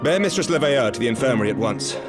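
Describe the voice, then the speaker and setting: A man playing a calm, gracious lord of knights in a game cutscene, speaking formally with polite authority. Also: mid-range voice, racing, natural voice. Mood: calm, commanding. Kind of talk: video game cutscene acting. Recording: background music under the dialogue.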